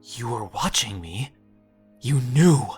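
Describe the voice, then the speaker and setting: A man playing a dazed young telepath mage in an audio drama, speaking in a halting, fragmented way. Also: medium pitch, expressive, a little mellow, soft background music. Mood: accusing.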